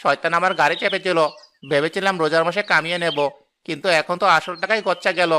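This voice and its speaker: A greedy village lemon seller rattles on in a quick, bright, sharp voice, as a man acts him out. He talks fast and pleads a lot. It plays out in a storybook cartoon. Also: high-pitched, steady tone, slight background noise. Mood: remorseful. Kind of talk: cartoon voice acting.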